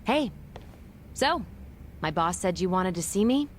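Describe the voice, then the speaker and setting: An actress playing a blunt, impatient young ex-nurse in a video game, with a dismissive, slangy way of talking. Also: female voice, lowish voice, expressive, measured pace, slight background noise. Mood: impatient, indifferent. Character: brusque, impatient young ex-nurse, flippant pachinko fanatic. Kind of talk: dramatic game cutscene acting.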